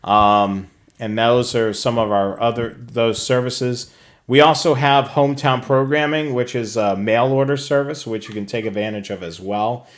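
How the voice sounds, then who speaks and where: A man's voice: medium pitch, moderate pace. A man co-hosting a live hobby show, talking casually through products and services for viewers. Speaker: co-host of a live radio scanner show.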